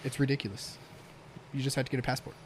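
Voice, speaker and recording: Male guest, quiet voice, mid pitch, casual storytelling, lots of cursing, faint noise. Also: little pitch movement, fast. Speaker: podcast guest. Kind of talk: casual podcast chat.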